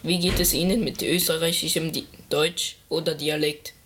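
An interviewer who puts short, polite questions to a guest. The delivery is formal and measured, with a mid-range voice. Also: mid-range voice, steady tone, a bit bright, breathy edge.

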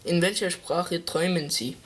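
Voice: medium pitch, steady tone, moderate pace, fairly bright tone, slightly rough. An interviewer who asks short, formal questions in German.

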